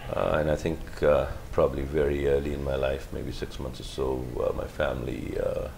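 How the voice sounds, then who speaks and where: A middle-aged man speaks in an extremely low, rough voice full of gravelly fry. He answers slowly and carefully as a guest, pausing on fillers and restarting his sentences. A faint hiss sits under the recording. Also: completely flat delivery, a little mellow. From Pakistan. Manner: halting, measured, many fillers and restarts. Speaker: guest, physician. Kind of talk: interview answers.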